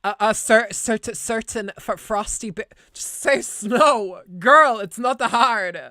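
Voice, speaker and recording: A woman's voice: fairly high pitch, takes it slowly, pushed and bright, no echo, studio quality. Giggly Irish woman streaming. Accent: light Irish, mixed with American. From Ireland. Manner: giggly, rambling, swears often, self-correcting. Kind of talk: casual live-stream chat.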